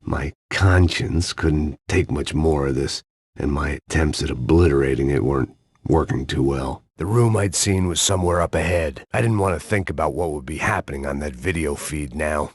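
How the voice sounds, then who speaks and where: Game noir lead: a weary, cynical middle-aged ex-cop, gravelly and very low, dry and close to the mic. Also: male voice, moderate pace, no room sound, exaggerated voice. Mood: weary sardonic. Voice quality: gravelly, deadpan, sardonic. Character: weary hard-drinking male ex-cop, bitter, middle-aged. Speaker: game protagonist. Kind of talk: noir inner-monologue narration.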